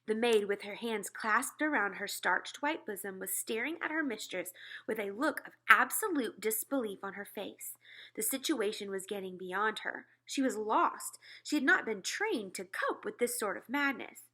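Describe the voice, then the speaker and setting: A woman narrating a children's storybook aloud in an expressive style. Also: medium pitch, moderate pace.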